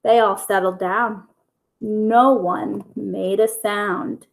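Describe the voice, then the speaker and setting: A woman storyteller reading a picture book aloud to young children in simple, deliberate phrases. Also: medium pitch, fairly even intonation, creaky, low-quality recording.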